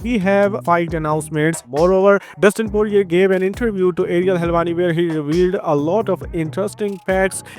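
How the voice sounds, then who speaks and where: Indian-accented man reading fight news. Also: high voice. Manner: conversational, casual asides. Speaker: host.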